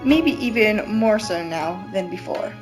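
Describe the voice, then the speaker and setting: A woman with a medium-pitched voice narrates a story in an amateur podcast reading. Music is clearly audible behind her. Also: lively intonation, a little slow. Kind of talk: amateur fan fiction narration.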